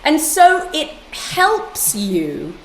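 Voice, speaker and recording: A woman's voice: high voice, wildly swooping pitch. Passionate woman on a roomy call. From London, England. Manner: passionate and emphatic, with quick repeated restarts. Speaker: guest author and yoga teacher. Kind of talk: conversational interview.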